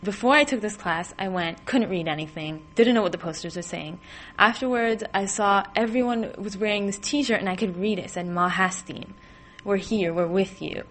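A woman's voice: mid-range voice, normal speed, a bit bright, a little hiss or hum. A teenage girl, talking casually as a student in an interview. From United States. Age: teens. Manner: casual, uses "like" often.

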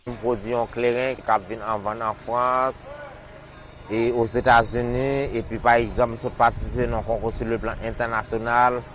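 A man, a business owner answering interview questions haltingly, with some repeated words. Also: medium pitch, level tone, fairly bright tone.

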